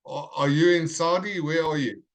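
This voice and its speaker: A man's voice: fairly high pitch, steady tone. Friendly male teacher calling roll online. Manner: friendly, repeats names, slow and clear. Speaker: English teacher. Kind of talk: live online class roll call. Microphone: video call.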